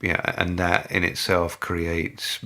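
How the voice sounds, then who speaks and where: A middle-aged man with an English accent, a guest in a podcast interview, speaking in a thoughtful, measured way with many fillers and restarts. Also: low-pitched, completely flat delivery, gravelly, pushed and bright. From England. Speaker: guest, business leader.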